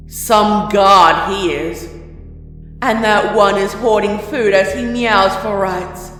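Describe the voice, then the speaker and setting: A man voicing Daybreaker, a fiery, self-assured female spirit who speaks loud and scornful, with heavy reverb over music. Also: high-pitched, voice pushed up, heavily acted. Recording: echoey, long reverb, music behind.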